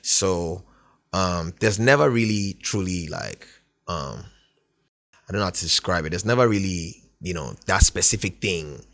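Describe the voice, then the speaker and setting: A man's voice: low voice, measured pace, creaky, fairly bright tone. A young man from Ghana, a musician guest, talking in a laid-back, rambling way and often asking "do you know what I mean". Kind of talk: casual interview chat.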